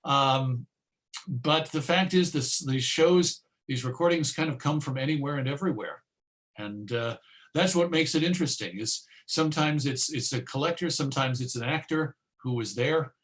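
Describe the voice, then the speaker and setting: Man, middle pitch, informal presenter, musing delivery, frequent mid-phrase pauses. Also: a bit bright. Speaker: presenter and old-time radio collector. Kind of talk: informal online talk with audience questions.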